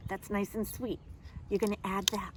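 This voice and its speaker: A woman kindergarten teacher speaking simply and expressively to young children, in a deliberate storytime manner. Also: mid-range voice, level tone, a bit bright, a little hiss or hum. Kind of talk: children's storybook read-aloud and simple instruction.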